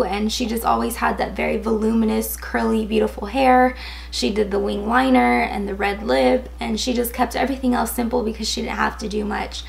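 A woman in her twenties talks through a makeup tutorial in a casual, filler-laden way. Her voice is raspy and a little hoarse from a cold, sitting fairly high with a gravelly fry. She speaks close to the camera in an indoor setting. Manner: casual, chatty, many fillers like "um" and "like". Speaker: beauty vlogger. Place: indoors, in front of a gold sequin backdrop.

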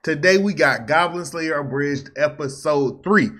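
A young man giving casual, animated, slangy reaction commentary with teasing asides, close to a desk microphone in a small home room. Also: on the high side, measured pace. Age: young adult. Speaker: reaction video host.

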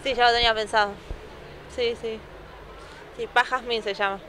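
A young woman in her twenties with an Argentine accent, speaking shyly and softly with many fillers in a street news interview. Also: a little high, steady tone, normal speed. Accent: Argentine Spanish. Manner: shy, soft, with many fillers. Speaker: new mother interviewed. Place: city sidewalk outdoors. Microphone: handheld reporter microphone. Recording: faint street noise behind.

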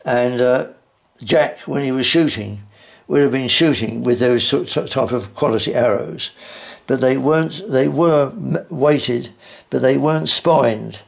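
An elderly man, giving an informal guided tour in a halting, measured, formally courteous way. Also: mid-range voice, gravelly. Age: 80s or older. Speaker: collector showing his archery collection. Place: wood-floored indoor collection room. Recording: roomy indoor echo.

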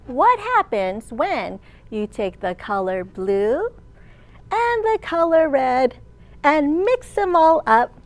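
A woman in her thirties, a children's librarian leading storytime with an animated, sing-song delivery for young children. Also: high-pitched, no room sound, dull audio. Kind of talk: children's storytime read-aloud and teaching. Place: large open library room, indoors.

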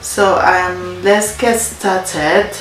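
A young woman whose first language is Spanish, chatting casually to her camera in a story-time vlog. She talks loosely, repeats her own phrases, and fills pauses with many ums. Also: mid-range voice, steady tone. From Spain. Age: young adult. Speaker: vlogger. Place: indoor room against a plain wall. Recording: roomy echo, faint music.

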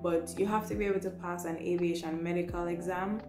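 A young woman with a Jamaican accent explains things informally to camera, in a slightly low voice. She is instructive and conversational, checking in with small tags as she goes. The small room gives a clear echo, and music plays behind her. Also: steady tone, a little fast. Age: young adult. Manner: instructive, often tagging points with "right" and "you know". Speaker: vlogger, trainee air traffic control assistant. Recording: roomy echo with music underneath.

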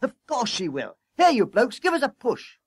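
A man voicing a bossy, frantic leading fireman in a story, shouting urgent orders. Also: high voice, sing-song, quick pace, no room sound, clean studio sound, pitched above the performer's own voice. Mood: impatient.

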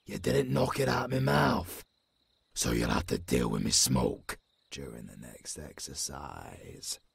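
A man playing a tough, caring old werewolf mentor with a London British accent and a raspy growl, in an audio roleplay. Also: big pitch swings, full character voice. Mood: gruff, commanding. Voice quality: very deep, raspy, gravelly growl. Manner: slow, rough, swearing, commanding.

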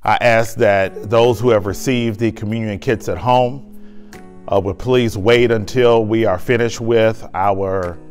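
A man's voice: on the low side, fairly even intonation, unhurried, darkish tone, faint music behind. A man, a pastor, leading a sermon and communion liturgy in a church sanctuary with a lapel mic, with fervent, emphatic preaching and solemn prayer.

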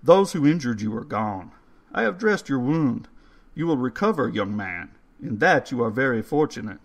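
A man voicing a calm, courteous old male undertaker who speaks in formal, slightly stilted phrases. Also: medium pitch, normal speed, gravelly, voice pushed up. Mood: soothing.

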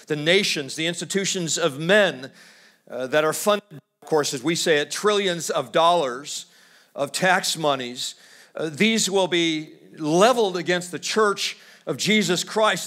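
Middle-aged california guy preaching at a church lectern, slightly high voice, goes from fired up to quiet, keeps restarting his phrases. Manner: impassioned preaching, swinging from loud high exhortation to low hushed storytelling; repeats words as he restarts phrases. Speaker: pastor. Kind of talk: sermon. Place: church stage at a wooden lectern.

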